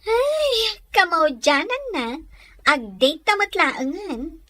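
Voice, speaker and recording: A woman's voice: very high-pitched, big pitch swings, takes it slowly, a bit bright, no room sound, exaggerated voice. A woman acting an eager, nagging young provincial sweetheart in an Ilocano comedy drama. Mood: pleading, eager.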